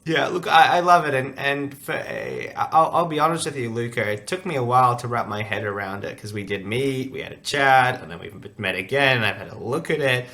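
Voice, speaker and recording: A man's voice: mid-range voice, animated, a little fast, creaky, hardly any pauses, pushed and bright. Man, podcast host, upbeat, chatty, lots of fillers, remote call. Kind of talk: casual interview chat.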